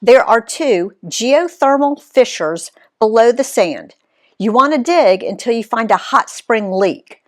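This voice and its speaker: A woman's voice: a little high, expressive, unhurried. A woman reading the lines of a friendly local man patiently explaining how something works. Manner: patient, instructive.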